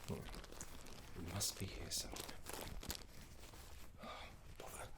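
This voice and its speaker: A man voicing a gentle, courteous, scholarly older necromancer teacher in a soft-spoken, encouraging ASMR roleplay, with a breathy whisper. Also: unhurried, very noisy, natural voice. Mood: politely fussy. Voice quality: breathy, creaky low whisper. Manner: soft-spoken, genteel, encouraging, slightly fussy.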